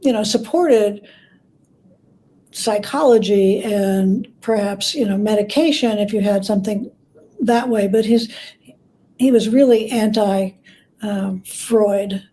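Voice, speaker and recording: A woman's voice: mid-range voice, takes it slowly, dark tone. A woman speaking as an expert interview guest, explaining informally through anecdotes and paraphrased quotes.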